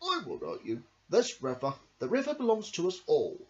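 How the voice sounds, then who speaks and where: Someone voicing a big snappy crocodile, swooping pitch. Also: wildly swooping pitch, normal speed. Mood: grateful, friendly. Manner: loud, shouting, possessive. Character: big selfish snappy male crocodile, later grateful. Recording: dull lo-fi home recording.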